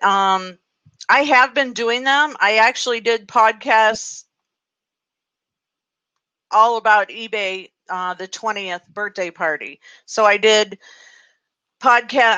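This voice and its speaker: A woman's voice: medium pitch, slow, pushed and bright, no echo. A woman hosting a casual live video chat, talking off the cuff in a chatty, welcoming way. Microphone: live group video call.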